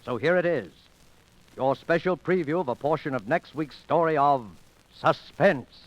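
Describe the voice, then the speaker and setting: An actor playing an ominous mystery host, announcing formally and dramatically in 1940s radio style. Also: male voice, on the high side, measured pace, lo-fi sound, close to an everyday voice. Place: radio studio.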